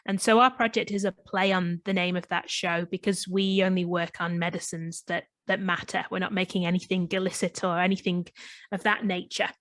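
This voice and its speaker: A woman's voice: lowish voice, level tone, brisk, a bit bright, no echo. A woman from Northwest England, her accent touched by an Australian twang, giving a friendly online talk with many ums and restarts. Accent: Northwest English, between Manchester and Liverpool, slight Australian twang. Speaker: chemist and guest presenter. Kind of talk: online presentation. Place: home in lockdown, video call.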